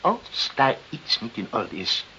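An actor in a radio play as a pompous, cynical boss who schemes in a condescending, self-satisfied way. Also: male voice, on the low side, sing-song, slow, creaky, sharp tone, heavily acted. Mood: smug, scheming. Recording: muffled old radio recording with hiss.

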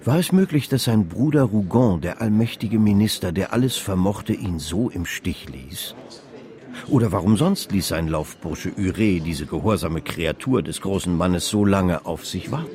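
A man with a low voice narrates a radio drama. His delivery is measured and literary, telling the story from a script. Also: expressive, a little mellow.

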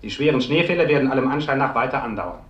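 A man's voice: mid-range voice, expressive, a bit bright, highs cut off, close to an everyday voice. A man playing a formal news announcer who gives urgent official warnings, in a 1950s radio play. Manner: emphatic, measured official announcing. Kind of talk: 1950s radio bulletin acting. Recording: echoey vintage radio recording.

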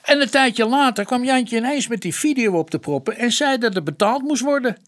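Detective, high-pitched male voice, plain-spoken, colloquial, respectful, radio play. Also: lively intonation, fairly quick, a bit bright. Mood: narrating grimly. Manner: colloquial, explanatory. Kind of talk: radio drama acting.

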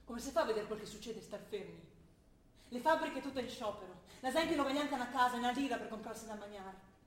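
A woman's voice: a little high, lightly acted. An actress playing a young idealistic revolutionary student who argues with her father. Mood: fervent. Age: young adult. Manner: earnest, quick, rising to fervent argument. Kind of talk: stage play acting. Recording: roomy stage recording with faint noise.

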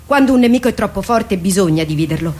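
An actress playing a steadfast, dutiful adjutant in a radio drama, respectful but firm and measured. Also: female voice, mid-range voice, animated, a little mellow, lightly acted. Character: dutiful, steadfast female military adjutant addressing her captain. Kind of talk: theatrical radio drama acting.

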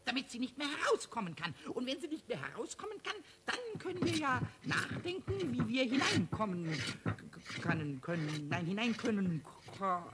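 A man voicing a cheeky, childlike little kobold in a 1970s German children's radio play, stammering and repeating words excitedly. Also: very high-pitched, normal speed, few pauses. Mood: flustered. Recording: noisy old radio play recording.

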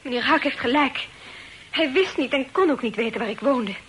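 A woman's voice: high-pitched, normal speed, fairly bright tone, rough recording. An actress playing a dignified, indignant young lady in a radio play, speaking formally and emphatically. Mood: firm, offended. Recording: hissy old radio drama recording.

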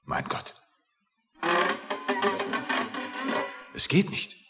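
A man's voice: moderate pace, loud music behind the voice, close to an everyday voice. An actor playing an indignant, self-pitying man stuck in panic, blustering and whining in a radio play. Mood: panicked. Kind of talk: German radio play acting.

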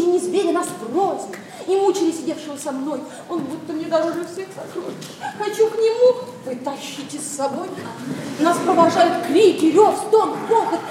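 An actress playing a young noblewoman who speaks in lilting, animated stage verse. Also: very high-pitched, moderate pace, recorded from a distance. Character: young noblewoman, dreamy and evasive daughter. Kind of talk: classic stage verse acting. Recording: very echoey stage from afar, faint noise.

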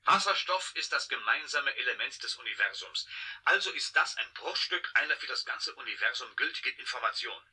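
A man playing a brash, excitable scientist with teasing, emphatic delivery in a German radio drama. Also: mid-range voice, a little fast, husky, exaggerated voice. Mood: eager, insistent. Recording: 1980 radio drama, radio-like sound.

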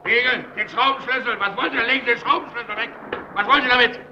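An actor playing an anxious, stubborn lighthouse keeper in a German radio play, with a creaky voice. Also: male voice, very high-pitched, steady tone, quick pace. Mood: alarmed. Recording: muffled old radio recording, loud noise.

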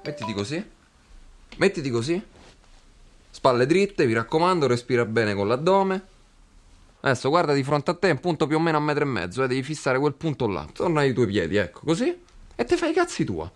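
Guy playing a roman slacker guru, calm then shouty, swings all over. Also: fairly high pitch, brisk, soft background music. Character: laid-back young man preaching a selfish guru philosophy. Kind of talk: scripted comedy sketch.

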